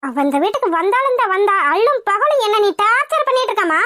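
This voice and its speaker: A woman's voice: very high voice, lively intonation, quick pace, bright, forceful tone, dry recording, studio quality, heavily acted. A woman voicing an exasperated young granddaughter who talks back. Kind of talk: comedy skit.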